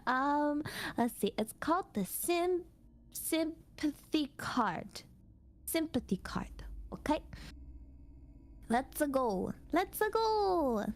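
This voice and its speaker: A woman's voice: high voice, big pitch swings, drawn-out words. A woman chatting casually and playfully as a fellow performer on an ASMR stream.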